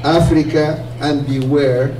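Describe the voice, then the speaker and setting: Slow man reciting in an echoey hall. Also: fairly high pitch, steady tone, mellow. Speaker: forum host. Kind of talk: reciting a poem, then introducing a guest. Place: community hall, indoors. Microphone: handheld mic, heard from a distant camera. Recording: echoey room, distant mic, faint hum.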